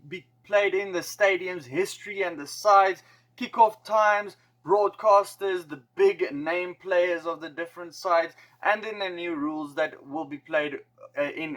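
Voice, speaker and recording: A man with a South African accent, talking casually as a rugby vlogger and slipping in joking asides. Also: a bit bright. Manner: chatty, joking asides, slightly higher-pitched delivery. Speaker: rugby YouTuber. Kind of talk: casual explainer vlog.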